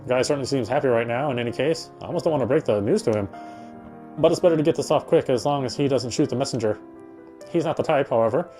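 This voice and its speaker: Mellow, quick man narrating reflectively. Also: medium pitch. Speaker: player reading a visual novel aloud. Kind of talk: dramatic reading of first-person game narration. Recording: music behind the voice. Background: game music.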